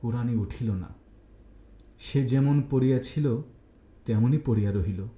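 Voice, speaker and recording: A man reading a literary story aloud as narrator, in a measured, dramatic storytelling manner. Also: medium pitch, mellow, roomy sound.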